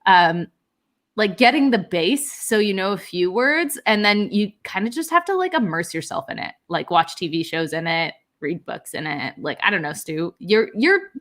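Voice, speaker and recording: A woman's voice: medium pitch, expressive, moderate pace, a bit bright. Chatty young woman streamer. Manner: chatty, reads out viewer comments, many fillers. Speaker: livestream host. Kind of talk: casual chat on a writing livestream.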